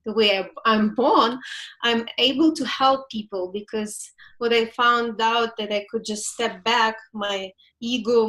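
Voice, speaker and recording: A woman's voice: medium pitch, fairly bright tone, rough recording. A woman in her forties from Ukraine with a Ukrainian accent, a relationship coach telling her story in an interview, laughing often and searching for words. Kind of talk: reflective interview talk.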